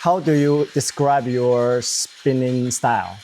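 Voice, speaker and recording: A man interviewing a guest in halting, non-native English. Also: mid-range voice, fairly even intonation, darkish tone, a little hiss or hum. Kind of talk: casual interview questions.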